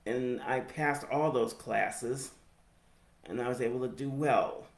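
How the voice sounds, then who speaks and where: An American man in his forties with a speech impediment, giving a personal reflective narration in halting, deliberate phrases. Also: mid-range voice, vocal fry. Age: 40s. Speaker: higher education professional telling own story. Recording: roomy, echoey sound.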